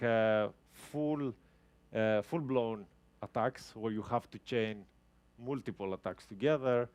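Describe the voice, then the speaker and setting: A man's voice: mid-range voice, clean tone, no echo. Man giving a tech talk. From Greece. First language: Greek. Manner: casual, explanatory, with frequent restarts. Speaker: guest speaker. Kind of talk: tech talk to a live audience. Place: talk venue with a live audience.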